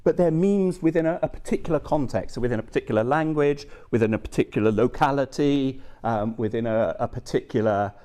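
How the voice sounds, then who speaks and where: A man's voice: medium pitch, a little fast, few pauses, dark tone. A middle-aged man giving a conference talk, conversational, checking in with the audience with frequent "okay" and "yeah". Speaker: academic presenter.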